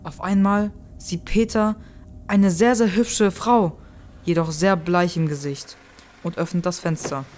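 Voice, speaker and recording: A woman's voice: fairly low pitch, fairly even intonation, measured pace, darkish tone, a little hiss or hum. A woman reading scripted narration as the narrator of an audio play.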